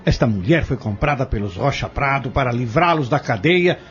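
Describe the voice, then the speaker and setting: A man's voice: medium pitch, lively intonation, moderate pace, close to an everyday voice. A man acting a cornered defendant in an audio drama, defiant and argumentative as he feigns innocence. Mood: indignant, accusing. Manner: argumentative, rhetorical, insistent. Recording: muffled lo-fi audio with music.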